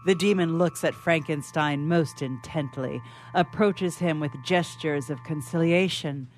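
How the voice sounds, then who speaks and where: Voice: mid-range voice, a little slow. Formal radio-play narrator over music. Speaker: narrator reading stage directions. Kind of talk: scripted dramatic narration for radio theatre.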